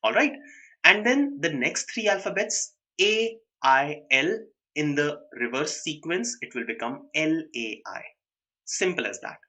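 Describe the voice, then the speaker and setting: Young Indian male tutor, bright and slightly high voice, live online lecture, drops into rapid Hindi asides. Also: very animated. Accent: Indian English. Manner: mixes English with quick Hindi asides, addresses students by name. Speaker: online exam-prep teacher.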